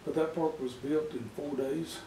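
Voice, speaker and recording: A man's voice: a little high, fairly even intonation, moderate pace, warm tone, slight background noise. A man in his sixties telling a local story in a casual interview in an office. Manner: chatty storyteller. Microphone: far camera. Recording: echoey office from far off.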